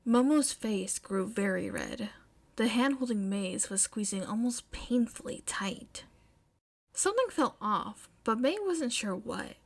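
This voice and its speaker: A woman narrates a piece of fiction from a script. Her voice sits a little high and moves with lively intonation. She takes her time over the sentences. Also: a little slow, vocal fry. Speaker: podfic narrator.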